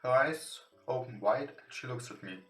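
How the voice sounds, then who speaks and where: A man who is not a native English speaker, casually commentating on a game and reading its text aloud in hesitant, halting English. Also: medium pitch. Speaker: let's play host. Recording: roomy, distant mic with music behind. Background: soft guitar game music.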